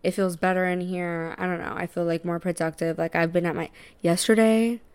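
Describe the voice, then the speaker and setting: A young woman chatting casually as a podcast co-host, her voice sitting low with a gravelly fry. She talks loosely, swears freely and laughs often. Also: fairly even intonation, fairly quick, darkish tone. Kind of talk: casual chat. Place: apartment living room.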